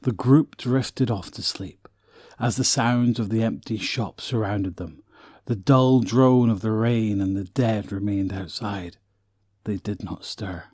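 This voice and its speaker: A man's voice: on the low side, steady tone, slow pace, a little mellow, breathy edge, dry recording, studio quality. A man narrating a scripted story as the narrator of an audio drama.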